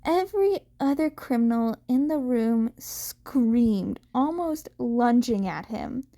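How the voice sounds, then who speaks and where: Brief: female fiction narrator, fairly high voice, very unhurried delivery, small stumbles left in. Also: expressive, dark tone. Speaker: fan fiction reader. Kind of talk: scripted story narration with acted dialogue.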